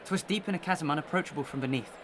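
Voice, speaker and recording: An actor playing a methodical, suspicious gentleman accuser in a Victorian audio melodrama, telling his account formally and dramatically. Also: on the high side, very fast, noisy background, natural voice. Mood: urgent, grave.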